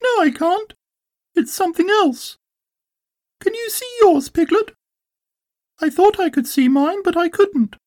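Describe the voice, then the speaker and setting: A storybook reader voicing a small, eager, excitable young kangaroo boy in a very high voice. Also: unhurried, pauses often, no room sound, clean studio sound. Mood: eager, excited. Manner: eager, breathless repetition. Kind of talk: storybook character voice.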